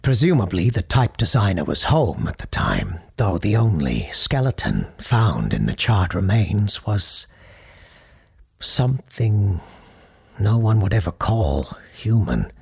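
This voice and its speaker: A man's voice: on the low side, big pitch swings, takes it slowly, creaky, a little mellow, husky, heavily acted. A man playing a courteous, grave parapsychologist who hosts a late-night paranormal radio show, speaking formally and with ominous understatement in an audio drama. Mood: courteous, wry.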